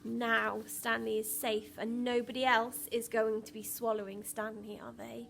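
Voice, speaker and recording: A woman's voice: fairly high pitch, level tone, measured pace, gravelly, fairly bright tone, slight background noise. A woman primary school teacher reading a picture book expressively to young children, with dramatic emphasis and questions to the listeners.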